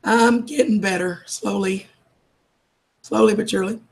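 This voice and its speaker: A woman, losing her voice from a cold, chatting warmly with viewers on a live stream and calling them darling and sweetheart. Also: medium pitch, fairly even intonation, a little slow, vocal fry. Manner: affectionate, calls everyone darling and sweetheart. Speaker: livestream host. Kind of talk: casual live chat greeting viewers.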